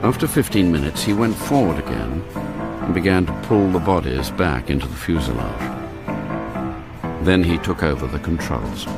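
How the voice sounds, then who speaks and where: An actor as the grave narrator of a radio spy thriller, telling the story in a measured, scripted way. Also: male voice, a bass voice, expressive, vocal fry, full character voice. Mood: grave, tense. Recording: loud music and engine effects behind.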